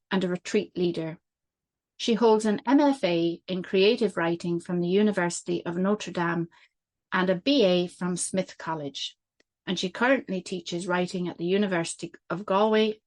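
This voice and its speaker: A woman hosting a podcast, reading a measured introduction and then asking questions in an earnest way. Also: lowish voice. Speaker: podcast host. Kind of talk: scripted intro, then interview.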